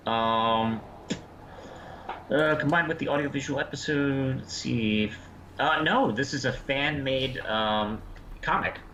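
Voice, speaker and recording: A man co-hosting a review show, explaining things in casual chat with halting pauses. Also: mid-range voice, pushed and bright. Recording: dull, roomy sound with faint noise.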